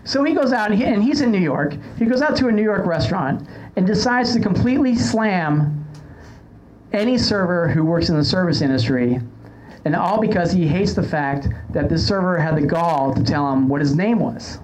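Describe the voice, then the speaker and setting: A man's voice: sits high, expressive, moderate pace, a little mellow, rough recording. An American man hosting a casual podcast panel discussion, talking informally and putting questions to guests. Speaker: podcast host. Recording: clear background noise.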